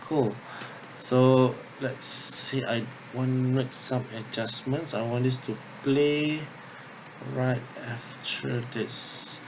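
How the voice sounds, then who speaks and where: Slow-paced man giving a halting software tutorial. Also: mid-range voice, fairly even intonation, noisy background. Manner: halting, thinking aloud, often checks with "okay" and "yeah". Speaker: drama workshop instructor. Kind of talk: unscripted software tutorial, talking through steps.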